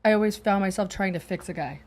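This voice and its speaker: Woman guest, thirties, mid-range voice, measured and confiding. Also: mid-range voice. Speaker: panel guest. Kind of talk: casual panel discussion.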